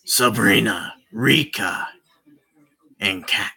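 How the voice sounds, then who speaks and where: A streamer giving casual commentary on a game, halting and often repeating phrases. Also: mid-range voice, creaky, pushed and bright, husky. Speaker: streamer playing a visual novel. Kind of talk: casual livestream commentary with read-aloud.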